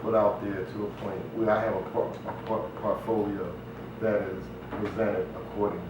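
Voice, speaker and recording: A man's voice: on the low side, little pitch movement, normal speed. A man giving a casual interview as a guest, rambling along in a conversational way and often saying "you know.". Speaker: interview guest, stage play writer and producer. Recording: very echoey, distant, dull, noisy room.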